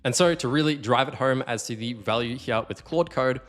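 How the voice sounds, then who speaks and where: Man giving a casual software tutorial. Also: medium pitch, brisk. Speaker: tutorial host.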